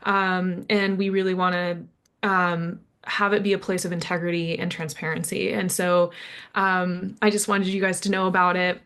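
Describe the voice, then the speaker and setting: A young woman on a video call, pitching her app in a casual chat, eager and full of fillers like "like". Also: little pitch movement, moderate pace, a bit bright, clean tone. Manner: eager, filler-heavy, lots of "like".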